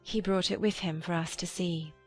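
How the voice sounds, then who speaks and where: A woman playing a gentle, forgiving elder sister, measured and mild, in a dramatized Regency audiobook. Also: fairly low pitch, steady tone, soft background music. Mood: troubled, gentle.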